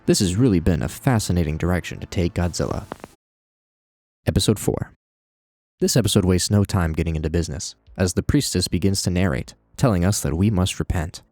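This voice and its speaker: A man's voice: sits low, fairly quick, a little mellow, no echo, soft background music. A man hosting a video essay, narrating in a conversational commentary style with wry asides.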